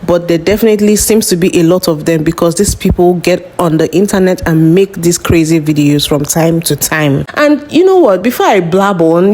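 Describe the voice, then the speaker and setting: A woman with a fairly low voice hosts a commentary vlog. She speaks to her viewers directly and lays out her points deliberately. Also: warm tone. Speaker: channel host.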